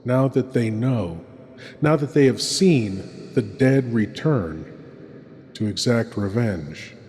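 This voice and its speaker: A man playing a sinister, theatrical horror host, with menacing, deliberate scripted delivery. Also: mid-range voice, lively intonation, a little mellow. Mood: ominous, gloating. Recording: long cavernous reverb over music.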